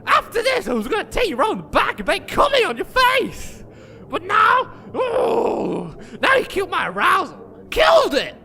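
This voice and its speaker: A man's voice: very high-pitched, wildly swooping pitch, normal speed, vocal fry, bright, forceful tone, shouting, a little hiss or hum, exaggerated voice. A man playing a crude, ranting, wildly swinging adventurer. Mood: exasperated, ranting. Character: foul-mouthed, bullying, bossy male adventurer with a short temper. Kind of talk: comedic fantasy audio drama acting.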